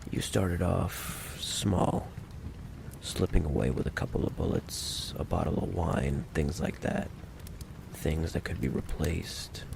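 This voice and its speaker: A man playing a menacing, impatient mafia boss in an ASMR roleplay, taunting the listener with slow, mock-courteous threats in a gravelly voice. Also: lively intonation, background noise, heavily acted. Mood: calm, probing. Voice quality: deep, gravelly. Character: menacing, possessive male mafia boss, smooth-talking and impatient.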